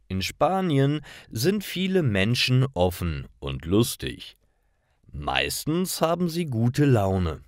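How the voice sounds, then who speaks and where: Low-voiced man narrating with lively swings. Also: no room sound, clean studio sound. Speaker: narrator of stories for language learners. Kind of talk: scripted storybook narration for learners.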